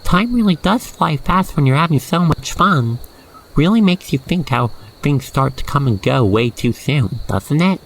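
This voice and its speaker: An expressive narrator guiding the listener through an ASMR roleplay story in a gushing, sentimental manner. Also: medium pitch, unhurried, slight background noise, poor audio.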